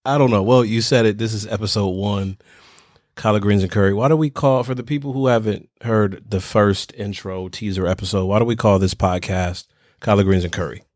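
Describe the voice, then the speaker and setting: A man's voice: on the low side, steady tone, fairly quick, a little mellow, dry recording, clean studio sound. A man from Michigan co-hosting a podcast, chatting casually and teasing, with frequent restarts. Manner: playful teasing, stumbles and restarts. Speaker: podcast co-host. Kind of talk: casual chat.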